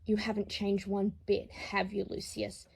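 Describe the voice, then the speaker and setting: A woman's voice: mid-range voice, completely flat delivery, unhurried, creaky, slightly rough. A woman reading a dramatised story as a haughty, bigoted aristocratic grandfather, formal and disdainful. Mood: cold contempt. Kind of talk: dramatised audiobook reading of fan fiction.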